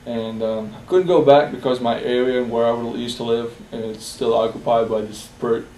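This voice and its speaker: A young man from Bosnia in a personal interview, speaking haltingly with many fillers and restarts. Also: medium pitch, steady tone, dark tone. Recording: roomy echo, distant from the mic, faint hiss.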